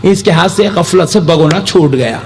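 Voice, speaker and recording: A young man preaching a sermon, telling a humorous story in an animated way. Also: on the high side, moderate pace. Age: young adult. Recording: live gathering with audience noise. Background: audience reactions.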